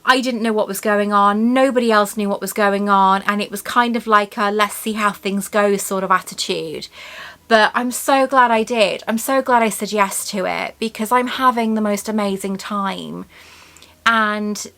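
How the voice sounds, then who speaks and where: A woman's voice: medium pitch, normal speed, bright, forceful tone. A woman with a Welsh accent hosting a chatty unboxing vlog, rambling, gushing and laughing often. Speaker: YouTube host.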